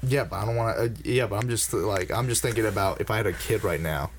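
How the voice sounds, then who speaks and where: A man's voice: lowish voice, fast, slight background noise. A man in his early twenties hosting a casual podcast, rambling with fillers and swearing. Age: early 20s. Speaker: podcast host.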